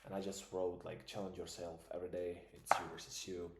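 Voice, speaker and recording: A man's voice: low voice, little pitch movement, fairly quick, creaky, roomy sound, very noisy. A man, an English speaker, musing in a casual vlog and thinking aloud with plenty of fillers. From Croatia. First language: Croatian. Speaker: vlogger. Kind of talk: casual reflective monologue.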